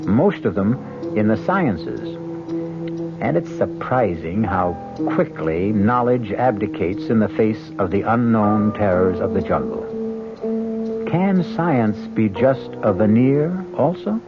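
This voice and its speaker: Low, expressive male mystery host over music. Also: slow. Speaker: host and narrator. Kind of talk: dramatic radio mystery narration. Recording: dull old radio recording.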